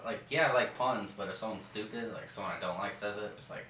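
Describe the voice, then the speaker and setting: A man's voice: lowish voice, fast, bright, forceful tone. A young man co-hosting a podcast in casual, blunt, sweary chat. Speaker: podcast co-host. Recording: roomy, distant mic, faint room noise.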